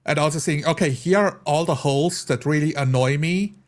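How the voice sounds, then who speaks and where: A man's voice: fairly high pitch, fairly quick. A man talking casually in a group discussion as a tabletop role-playing player.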